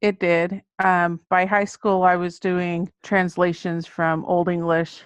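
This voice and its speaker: A woman's voice: fairly low pitch, flat delivery, takes it slowly, clear, resonant tone, dry recording. A middle-aged American woman, a children's book author, talking about her writing as an interview guest. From Texas, United States.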